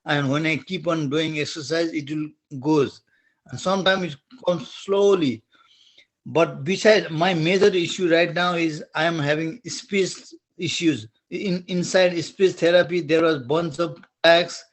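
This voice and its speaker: A middle-aged man from Nepal with a strong Nepali accent who speaks in halting phrases, restarts often and searches for words while asking a question in an online webinar. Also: a little high, steady tone.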